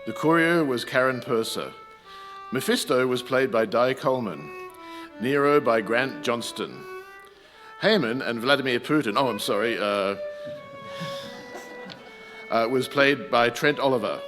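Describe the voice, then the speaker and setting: A man's voice: medium pitch, lively intonation, unhurried, long pauses. A man working as a radio announcer, reading out closing credits. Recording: echoey hall with long reverb. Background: violin music.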